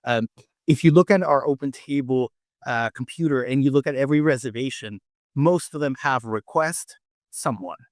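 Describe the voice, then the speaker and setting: A man's voice: mid-range voice, moderate pace, no echo, clean studio sound. A man from Mexico City with a Mexican accent and Spanish as his first language, answering interview questions conversationally as a restaurant owner and telling anecdotes. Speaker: restaurant owner and chef, podcast guest.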